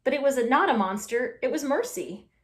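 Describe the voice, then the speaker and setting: A woman reading a storybook voices a gentle, fretful sister who speaks in a mild, placating way. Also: high voice, expressive, a little fast. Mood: fretful, soothing. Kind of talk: children's storybook character voice.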